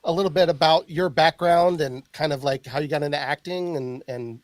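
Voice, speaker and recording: A man hosting a casual podcast interview, asking questions in halting starts and joking with his guests. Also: on the high side, no echo. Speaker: podcast host.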